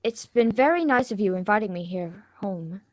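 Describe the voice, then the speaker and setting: A woman's voice: medium pitch, lively intonation, normal speed, darkish tone, dry recording, muffled recording, lightly acted. A woman playing a polite, evasive, bookish schoolgirl in a live radio drama reading, with a hesitant, stammering delivery.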